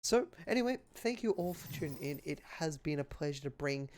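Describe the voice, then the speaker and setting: A man's voice: a little high, lively intonation, brisk, darkish tone, a little hiss or hum. Male esports caster, slightly strained voice. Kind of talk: live game commentary and sign-off.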